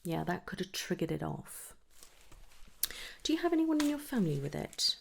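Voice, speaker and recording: A soft-spoken woman in an ASMR medical roleplay, gently asking questions in a breathy voice that often slips towards a whisper. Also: fairly low pitch, very animated, background noise. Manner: gentle, unhurried questioning with pauses for answers. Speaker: ASMR performer playing a trichologist.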